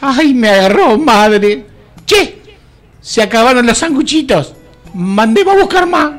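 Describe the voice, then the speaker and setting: A woman's voice: a little high, animated, measured pace, soft background music. A woman playing a spoiled, spiteful young stepsister in a comic radio play, exclaiming in exaggerated style with an Argentine Rioplatense accent. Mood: greedy. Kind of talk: comic radio theatre acting.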